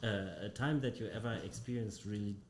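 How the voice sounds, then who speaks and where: A man hosting an interview, asking questions in a halting way, repeating words as he goes. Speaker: doctor hosting an interview show. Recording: roomy echo with faint rumble.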